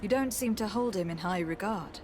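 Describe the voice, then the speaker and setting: A woman's voice: mid-range voice, brisk, noisy background, close to an everyday voice. An actress playing a composed, diplomatic noblewoman envoy in a video game, formal and polite. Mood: calm, probing. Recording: dry voice, faint game music. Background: faint music.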